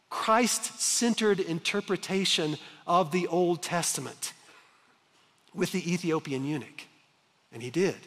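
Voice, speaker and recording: A man in his fifties preaching a sermon from a church stage, earnest and emphatic, with slow dramatic pauses. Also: high voice, fairly bright tone. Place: large church stage with reverb. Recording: long hall reverb.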